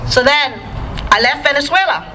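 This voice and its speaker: A woman's voice: high-pitched, expressive, normal speed, sharp tone. A woman from Guyana giving an emphatic political speech to an outdoor crowd by a riverfront. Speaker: rally speaker. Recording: noisy outdoor crowd recording.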